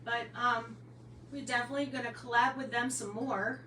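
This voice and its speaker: A woman's voice: on the high side, fairly even intonation, moderate pace, bright, forceful tone, far from the mic. An American woman chatting casually as a paranormal podcast co-host, with a blunt, teasing way of talking. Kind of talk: casual chat. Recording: echoey room, off-mic, noisy hum behind.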